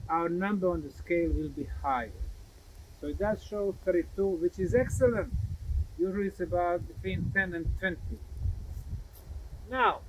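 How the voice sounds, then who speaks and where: Slow-speaking man, higher-pitched. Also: poor audio. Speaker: presenter and researcher. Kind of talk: outdoor explanatory talk to camera. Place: outdoors at a grassy mound site. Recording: clear background noise, outdoor site recording.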